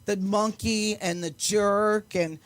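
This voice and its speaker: A woman's voice: mid-range voice, fairly even intonation, takes it slowly, no room sound. An older woman in casual chat, self-deprecating and often laughing as she talks. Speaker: guest, singer and entertainer.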